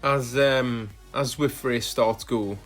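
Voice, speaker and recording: A man talks through his cycling footage in a relaxed, halting way, filling the gaps with uhs and ums. His pitch stays flat and even. Soft music plays quietly behind him. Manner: relaxed, hesitant with frequent fillers. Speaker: cycling vlogger. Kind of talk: casual voice-over narration of ride footage.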